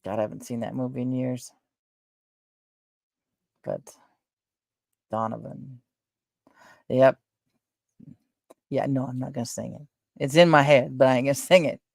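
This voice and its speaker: A middle-aged woman with a soft voice, chatting casually and at length as host of her own stream, wandering between thoughts with fillers and self-corrections. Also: very deep voice, steady tone, a little slow, creaky, pauses often, dry recording. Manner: meandering, trails off, frequent fillers and self-corrections. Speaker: livestream host. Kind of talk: rambling casual chat.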